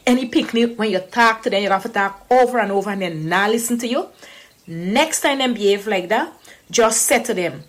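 A woman's voice: mid-range voice, lively intonation, moderate pace. A woman from Guyana with a Guyanese Creole accent, giving a casual spoken lesson, repeating phrases and quizzing the listener. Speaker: language teacher.

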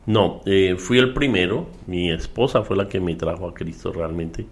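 A middle-aged man with a Colombian Spanish accent speaks in a very low, deep register. He tells his testimony at a measured pace, pausing with fillers, as a guest in an interview. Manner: measured storytelling with frequent fillers. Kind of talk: relaxed testimony in conversation.

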